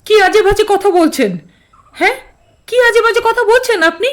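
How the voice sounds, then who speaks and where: Very high, shrill young mother. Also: moderate pace, fairly bright tone. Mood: indignant, alarmed. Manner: shrill, urgent, melodramatic. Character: fiercely protective, emotional young mother. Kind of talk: Bengali horror audio drama acting.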